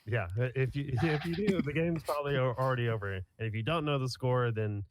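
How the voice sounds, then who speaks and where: A man's voice: mid-range voice, fairly quick. A man in his twenties from Kansas, a podcast guest chatting casually in a rambling, blunt way and swearing freely. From Kansas, USA. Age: 20s.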